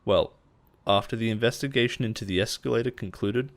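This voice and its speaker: An actor playing a possessive male boss in an intimate roleplay audio drama, coaxing and quietly menacing. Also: lowish voice, brisk, lightly acted. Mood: controlling, quietly menacing. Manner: soft-spoken coaxing, chuckles and sighs, quietly menacing.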